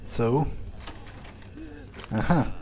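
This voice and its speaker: A man's voice: extremely slow, darkish tone, a little hiss or hum. A man thinking aloud in character as he plays a game, in an understated, musing way. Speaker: gameplay video creator.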